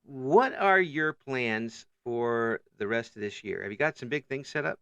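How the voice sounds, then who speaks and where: Male interview host, bright tense tone, chuckly and stumbling, dry close sound. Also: medium pitch, lively intonation, unhurried, smooth, no room sound. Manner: chuckles often, stumbles and repeats words. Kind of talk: casual interview chat.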